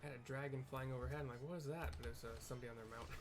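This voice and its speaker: A man's voice: medium pitch, level tone, racing. A man rambling casually as he narrates his gameplay, drifting into side topics. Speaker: gameplay streamer narrating a game.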